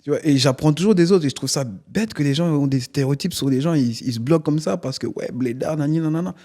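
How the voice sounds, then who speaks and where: A man's voice: on the high side, fast talker. A man whose first language is not French, telling stories in a casual podcast studio interview and animatedly acting out the people he quotes. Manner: animated, acts out quoted voices, constant "tu vois". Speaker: interview guest, stage performer. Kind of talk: casual storytelling conversation.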